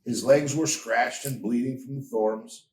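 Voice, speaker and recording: A man narrates a storybook tale with slow, measured expression. His voice sits at an ordinary male pitch and comes from a little way off, with the room echoing around it. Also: fairly even intonation. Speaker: story reader. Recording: roomy echo, distant from mic.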